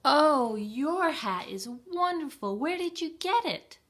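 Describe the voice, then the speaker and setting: Woman voicing an eager, high-pitched storybook hen. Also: animated, slow pace, fairly bright tone, full character voice. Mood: admiring. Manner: exclaiming, eager, child-directed. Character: curious, naive, delighted female hen hunting for a hat.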